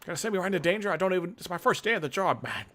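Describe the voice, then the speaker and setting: A man playing a clueless young recruit in a fantasy game, halting and dopey as he asks questions. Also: sits high, lively intonation, pushed and bright, voice pushed up. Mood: baffled. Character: clueless, hesitant young recruit on first day.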